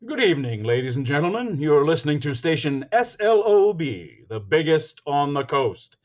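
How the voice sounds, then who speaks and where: Man playing a grand, animated radio announcer. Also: fairly high pitch, moderate pace, dull audio.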